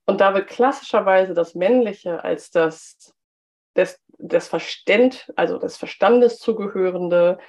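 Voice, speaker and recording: A woman hosting a solo business podcast, slightly hoarse from a cold and chatty, with frequent filler sounds. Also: medium pitch. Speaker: podcast host.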